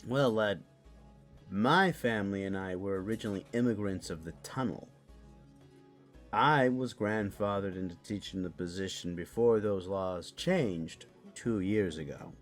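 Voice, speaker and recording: Male actor as composed fantasy council elder and teacher, somewhat low voice, formal delivery, faint music behind. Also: a little slow, lightly acted. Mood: composed, explanatory. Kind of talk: fantasy audio drama acting.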